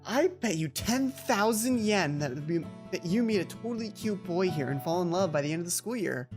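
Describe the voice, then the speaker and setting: A woman voicing an excitable, teasing teenage girl from a visual novel game, with a bubbly, gushing, drawn-out delivery. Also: on the low side, over music, close to an everyday voice.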